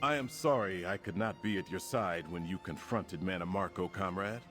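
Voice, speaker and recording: An actor playing a solemn, loyal warrior comrade in a fantasy game, with a husky voice and formal speech. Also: male voice, deep voice, normal speed, fairly bright tone, soft background music. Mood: earnest, grateful. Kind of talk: fantasy video game dialogue.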